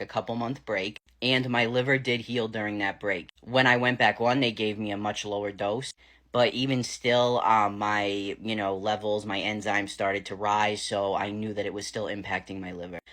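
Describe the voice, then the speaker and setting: A man in his thirties with a slightly raspy, gravelly voice, talking candidly in a casual vlog. He speaks frankly, with lots of fillers and the odd curse. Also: fairly low pitch, fairly bright tone. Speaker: vlogger.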